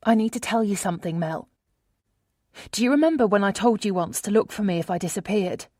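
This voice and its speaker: Woman narrator as runaway wife, anxious, apologetic, earnest audiobook dialogue, slightly lifted pitch, dry close sound. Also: a little fast, dark tone, no room sound, close to an everyday voice. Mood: earnest, confessing.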